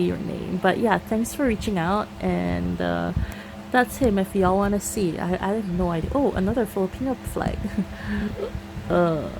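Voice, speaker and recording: A woman's voice: on the low side, vocal fry, background noise. A woman from Singapore with a Singaporean accent, chatting casually to viewers about a mobile game, filling her talk with "you know" and laughing often.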